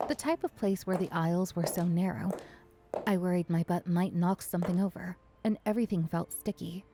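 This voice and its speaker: A woman's voice: lowish voice, background noise, natural voice. A woman playing a weary, self-conscious but defiant young woman in a first-person audio drama. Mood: weary, reflective.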